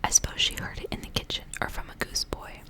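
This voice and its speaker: A woman reading a story aloud in a hushed ASMR whisper from start to end. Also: fast. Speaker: ASMR reader.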